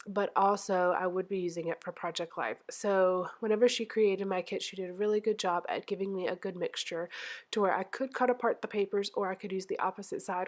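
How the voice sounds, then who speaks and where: A woman with a stuffy, nasal voice, still getting over the flu, talks through her craft process. She rambles in a friendly, casual way, with lots of ums and little self-corrections. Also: medium pitch. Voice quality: nasal, congested. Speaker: scrapbooking channel host.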